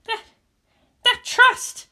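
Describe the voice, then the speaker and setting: A woman voicing a fiery, combative teenage girl mecha pilot in a shrill, emphatic story reading. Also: extremely slow, sharp tone, heavily acted. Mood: hesitant wonder.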